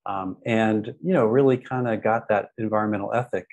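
A man's voice: fairly low pitch, steady tone, brisk, a little mellow, dull audio. An American man from the United States, a podcast guest who talks casually with many ums and restarts. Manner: friendly, frequent ums and restarts. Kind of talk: casual interview talk.

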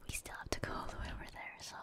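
A woman whispers throughout, in a soft, breathy hush with no full voice. She is a gaming streamer giving ASMR playthrough commentary, musing aloud in an unhurried, informal way.

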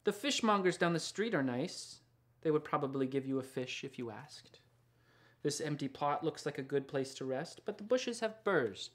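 A man in his thirties reading a picture book aloud in a gentle, unhurried and sometimes hushed way. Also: on the high side, animated. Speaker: children's picture book author and illustrator. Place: small indoor studio lined with books and records.

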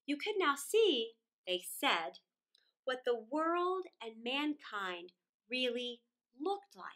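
A middle-aged woman reading a children's story aloud in a theatrical, deliberate way, drawing out words with dramatic pauses. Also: sits high, very animated, fairly bright tone. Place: small indoor room against a plain wall.

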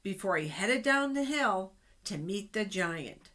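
Slow, expressive woman storyteller. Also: medium pitch. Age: middle-aged. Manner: expressive, explanatory. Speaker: children's storytime reader. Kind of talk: reading Bible stories aloud to children. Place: small office, indoors. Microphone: webcam at desk distance.

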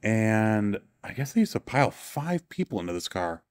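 Low-voiced man touring a car show. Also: big pitch swings, normal speed, no echo, clean studio sound. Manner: enthusiastic, offhand, self-correcting. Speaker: show tour guide and author. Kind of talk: casual walking tour commentary. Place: large indoor exhibition hall.

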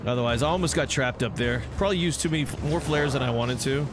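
A man's voice: mid-range voice, fast. A man giving casual let's play commentary, chatting away with joking asides to the game's characters. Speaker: gameplay host. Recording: game music behind the voice. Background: video game music and action sounds.

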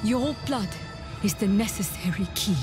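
An actress playing a tender, resigned dying mother in a dark fantasy game, gentle and solemn in her sorrow. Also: medium pitch, normal speed, lightly acted. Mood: solemn, loving. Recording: music behind the voice.

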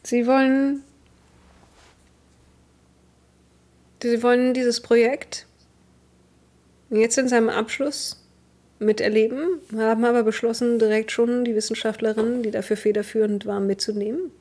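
A woman's voice: on the high side, steady tone, moderate pace, darkish tone, close to an everyday voice. Woman playing a stern, formal female commander. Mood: suspicious.

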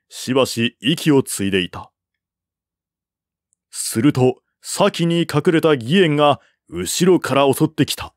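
Man giving a dry, measured literary reading. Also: medium pitch, no room sound. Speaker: audiobook narrator. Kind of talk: dramatic literary narration of a historical war novel.

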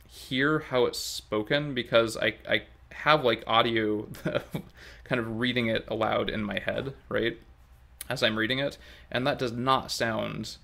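A man's voice: mid-range voice, gravelly, sharp tone. A man in his thirties giving a casual, unscripted update to camera at home, rambling a little and hesitant as he thinks aloud. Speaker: language-learning vlogger. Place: open kitchen and dining room at home, indoors.

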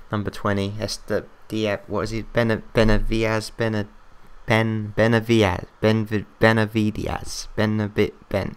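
An English man calls a football management game like a match, excitable and rambling as he talks to himself. His voice sits low and comes through smooth and clear, though it is wearing thin. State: losing his voice, coughing. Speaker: game commentator.

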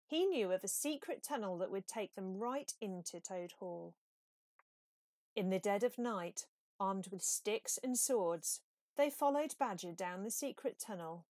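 Unhurried woman reading a children's story. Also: mid-range voice, dry recording. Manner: measured, expressive read-aloud. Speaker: storybook reader. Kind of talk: scripted children's story narration.

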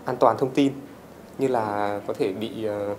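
A man in his twenties answering informally in an interview, halting as he goes. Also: fairly low pitch, fairly even intonation, a crowd in the background. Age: 20s. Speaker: tech enthusiast interviewee.

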